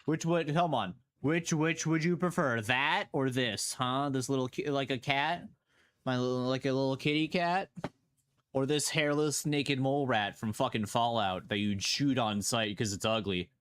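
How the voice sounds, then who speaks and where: A man's voice: mid-range voice, steady tone, moderate pace, fairly bright tone, clear, resonant tone, no room sound. A man streaming casual reaction commentary, rambling and playful, often questioning what he watches. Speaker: streamer reacting to horror videos.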